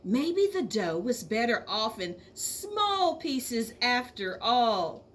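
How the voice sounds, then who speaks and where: A woman reads a picture book to young children in a fairly high voice. Her delivery is slow and highly animated, stretching key words for emphasis the way a schoolteacher does. There is faint noise behind her. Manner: expressive, addresses young listeners with questions and drawn-out emphasis. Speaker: elementary school teacher reading aloud. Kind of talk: children's storybook read-aloud.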